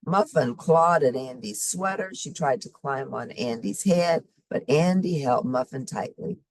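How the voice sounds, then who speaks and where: A woman reading a children's story expressively, with simple, deliberate phrasing for young listeners. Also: rumbling low, animated, no room sound. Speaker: storybook reader.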